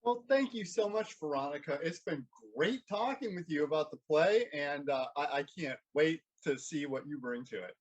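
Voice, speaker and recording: A man's voice: a little high, expressive, normal speed, hardly any pauses, muffled recording. A man interviewing someone as host, speaking conversationally and in an encouraging way.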